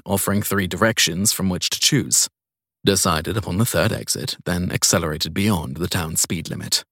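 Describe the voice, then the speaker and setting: A man's voice: low-pitched, a bit bright, breathy edge, no room sound. A man reading scripted crime fiction as an audiobook narrator, recorded close up.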